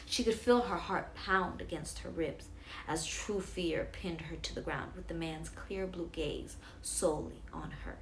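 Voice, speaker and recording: A woman with a fairly low voice tells a bedtime story for children as a storyteller. Faint noise sits behind her on the recording. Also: a little slow, poor audio.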